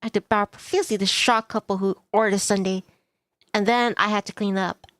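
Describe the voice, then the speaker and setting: A woman's voice: mid-range voice, fairly even intonation, quick pace, fairly bright tone, dry recording. A woman streaming a visual novel, reading the text aloud with asides to viewers and stumbling over some words.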